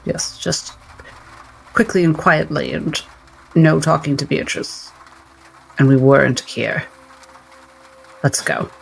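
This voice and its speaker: A man's voice: on the high side, fairly even intonation, slow, creaky, long pauses, slight background noise, exaggerated voice, low-quality recording. A man playing a blunt, pragmatic doctor in a tabletop game, decisive and emphatic. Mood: brisk.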